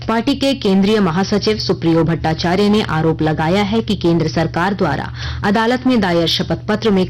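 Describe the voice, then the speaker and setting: A woman presenting a scripted radio news bulletin in a formal, measured manner. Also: lowish voice, darkish tone, a little hiss or hum, rough recording.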